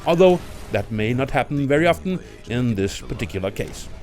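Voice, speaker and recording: A man's voice: medium pitch, animated, a little fast, loud music behind the voice. A man hosting a countdown video, reading a scripted film review as narrator. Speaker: video list host and narrator.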